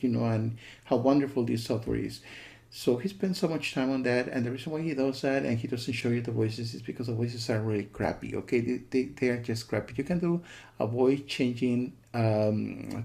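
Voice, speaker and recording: Dark-voiced man giving a cautioning review. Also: medium pitch, vocal fry. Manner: repetitive, keeps checking with "okay?" and "you know". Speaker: software reviewer. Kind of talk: casual spoken review.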